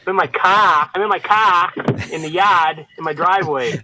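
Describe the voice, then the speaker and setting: A man's voice: high-pitched, moderate pace, noisy background. A trucker from the Boston area, a man chatting casually as a podcast guest from his parked car. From Boston area, United States. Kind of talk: casual chat.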